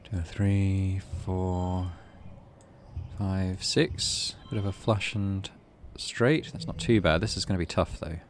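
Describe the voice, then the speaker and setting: A man's voice: sits low, steady tone, slow, a little hiss or hum. A man giving a casual let's play commentary, thinking aloud and reading story text from the game. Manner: thinks aloud while playing. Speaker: gaming channel host playing a visual novel.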